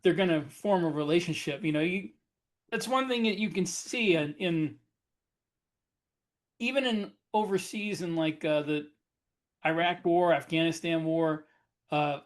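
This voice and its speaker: A man's voice: a little high, measured pace, pauses often. A man co-hosting a podcast, an author who presses his points earnestly in casual chat.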